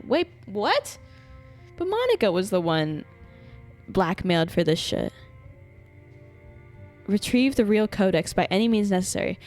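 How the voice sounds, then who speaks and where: A woman streaming a video game in a mid-pitched voice. She is expressive, shifting between dramatic read-aloud and blunt, sweary reactions. Game music runs behind her.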